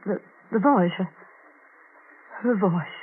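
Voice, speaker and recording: An actress playing a frail, haunted old woman, halting and fearful, in a 1940s radio drama. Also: mid-range voice, slightly rough, heavily acted. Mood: frightened, pleading. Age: older. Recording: noisy, narrow-band 1940s radio recording.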